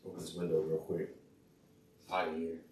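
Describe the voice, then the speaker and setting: A hoarse man losing his voice, hosting his own channel in a rambling, casual way. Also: sits low, completely flat delivery, moderate pace. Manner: rambling, full of fillers and restarts. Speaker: channel host. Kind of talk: casual rambling vlog talk. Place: indoors in a room. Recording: echoey room, mic at a distance, faint noise.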